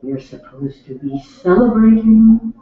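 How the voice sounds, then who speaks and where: A woman's voice: on the high side, expressive, measured pace. An actress playing a commanding, scolding queen in an educational radio play. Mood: reproving. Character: commanding queen scolding her husband at banquet. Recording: very echoey room, muffled narrow sound.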